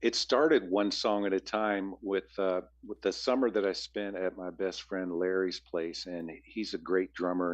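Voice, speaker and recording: A man with a very low bass voice, answering interview questions as a musician guest, rambling with frequent restarts.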